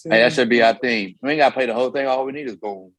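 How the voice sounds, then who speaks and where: Husky man, playful teasing podcast co-host, easy casual banter. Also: medium pitch, very fast, fairly bright tone, dry recording, rough recording. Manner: teasing, joking, hums beats. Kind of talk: casual chat.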